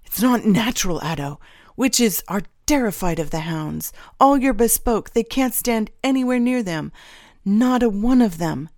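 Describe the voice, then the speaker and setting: A woman's voice: sits low, moderate pace, a little mellow, no echo, studio quality. A woman voicing a fearful, argumentative male subordinate and father in an audiobook, blunt and insistent. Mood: alarmed, arguing.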